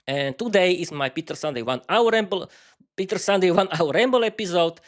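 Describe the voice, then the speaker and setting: Man, slovak accent, non-native english, unscripted solo podcast, halting, many restarts, fairly high voice. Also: animated. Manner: halting, frequent restarts and fillers. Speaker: podcast host.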